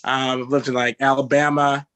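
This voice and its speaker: A young man from Texas doing a stand-up set, halting and filled with "uh" and "um". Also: medium pitch, level tone, fairly bright tone, clean tone, dry recording. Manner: halting delivery with many fillers. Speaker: stand-up comedian.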